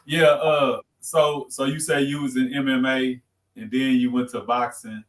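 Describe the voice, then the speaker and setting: A man hosting a podcast, asking loose, halting questions full of street slang. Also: medium pitch, flat delivery, roomy sound. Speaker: podcast host. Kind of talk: casual interview talk.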